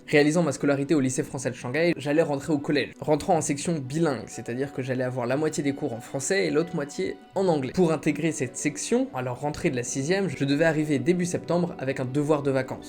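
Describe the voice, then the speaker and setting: Fast-talking young man narrating his vlog. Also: on the high side, a little mellow. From China. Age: 20s. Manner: candid, self-deprecating, joking. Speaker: YouTuber.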